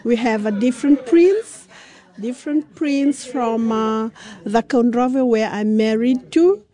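A woman's voice: fairly high pitch, takes it slowly, mellow. A woman craft maker with a Fijian accent, answering in an interview in simple phrases that she often repeats. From Fiji.

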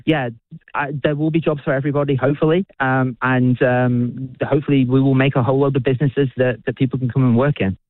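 A British physicist being interviewed on the radio, speaking with enthusiasm, halting often and restarting his sentences. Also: medium pitch, fairly even intonation, no room sound. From United Kingdom. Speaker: physicist and researcher. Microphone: phone. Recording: dry tinny phone line.

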